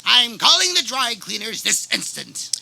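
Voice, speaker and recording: A woman voicing a gruff, crude veteran male office worker with a gravelly, husky voice in a comedic radio play. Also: fairly high pitch, very animated, normal speed, pushed and bright, full character voice. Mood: indignant. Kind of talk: comedic radio theater acting.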